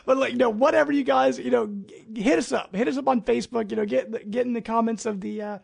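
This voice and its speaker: A man with a high-pitched voice and very heavy creak hosts a casual podcast. He talks in an enthusiastic, informal, rambling way. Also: fast talker. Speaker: podcast host.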